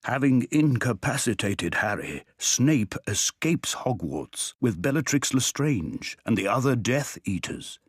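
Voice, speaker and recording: A man's voice: medium pitch, sing-song, measured pace, hardly any pauses, husky, no echo. A man narrating a scripted story as a game's narrator.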